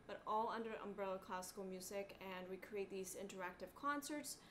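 A middle-aged woman hosts an informal livestream as a music teacher. Her voice sits at an average pitch, and she talks in an unscripted, halting, enthusiastic way. She is recorded a few feet from a camera mic in a living room, with noticeable background noise. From born in Hong Kong, raised in Boston, USA. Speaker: cellist and teacher hosting a livestream. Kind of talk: casual livestream chat. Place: small living room at home, indoors. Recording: clearly noisy home webcam audio.

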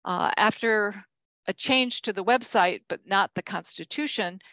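An older woman giving a conference keynote from slides, measured, with frequent ums and self-corrections. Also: mid-range voice, a bit bright, dry recording. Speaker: keynote speaker.

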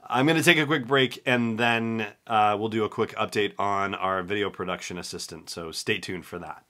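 A man's voice: on the low side, normal speed, bright, forceful tone, slight background noise. A man in his forties talking casually as he hosts a podcast on his own from a room at home. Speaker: podcast host. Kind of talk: casual solo podcast commentary.

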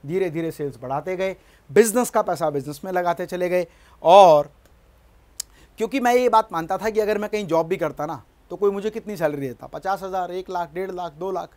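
A middle-aged man giving a motivational business lesson in a studio, speaking emphatically and often asking his audience to agree. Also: a little high. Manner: emphatic, gestures at a screen, asks "है ना?". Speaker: business coach. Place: studio in front of a large screen.

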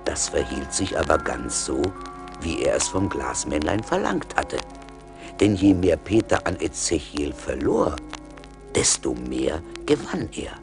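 A man's voice: a bass voice, sing-song, measured pace, gravelly, music in the background. A man narrating a fairy tale as the scripted storyteller of a radio play.

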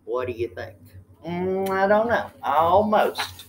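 Animated older woman reading to children. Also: on the low side, takes it slowly, a little hiss or hum. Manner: expressive, asks the listeners questions. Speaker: read-aloud storyteller. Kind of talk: children's picture-book reading with asides. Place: indoor room with tiled ceiling.

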